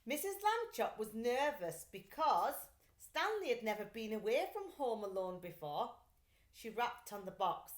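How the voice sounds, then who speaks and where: Woman, children's storyteller, deliberate phrasing, lively intonation, echoey room. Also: fairly high pitch. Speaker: teacher reading aloud. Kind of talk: expressive children's story reading.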